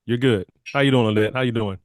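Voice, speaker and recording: A man presenting an investment opportunity on a video call, losing his voice and clearing his throat often. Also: medium pitch, quick pace, no room sound. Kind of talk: upbeat sales pitch on a video call.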